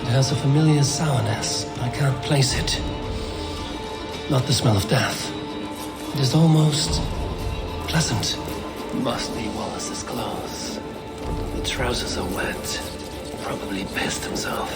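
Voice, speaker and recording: An actor playing a steadfast, wary and devout Viking warrior in a video game, measured and earnest. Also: male voice, mid-range voice, loud music behind the voice. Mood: investigative, quiet.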